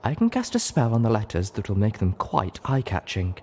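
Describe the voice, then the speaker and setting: A man's voice: lowish voice, huge pitch swings, fairly quick, a little mellow, heavily acted. A man voicing a refined, insistent female pony singer in a story, prim, wry and a little condescending.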